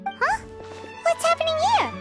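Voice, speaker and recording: A woman voicing a tiny, excitable floating fairy-like girl in a video game, with a squeaky, childlike way of talking. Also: moderate pace, heavily acted. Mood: startled, chatty. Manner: childlike, talks of self in third person. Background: jingly game music.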